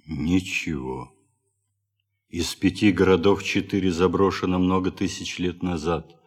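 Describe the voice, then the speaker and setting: Deep, slow, grave ship's doctor. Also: male voice, slightly rough, full character voice. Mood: sombre, shaken. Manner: measured, weighty pauses. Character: grave male ship's doctor and geologist, sombre explorer. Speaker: actor in audio play. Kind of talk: theatrical radio drama acting.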